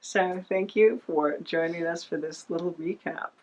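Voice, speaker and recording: A woman's voice: lowish voice, measured pace, darkish tone, low-quality recording. A woman hosting a podcast in a casual, rambling, self-deprecating chat style. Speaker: podcast host.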